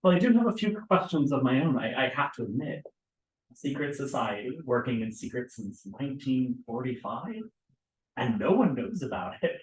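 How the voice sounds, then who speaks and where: Man acting affable middle-aged documentarian, animated, echoey. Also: medium pitch, moderate pace, close to an everyday voice. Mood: curious, earnest. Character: middle-aged male documentarian, affable, self-deprecating proud dad. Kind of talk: table read of a play script. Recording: echoey room.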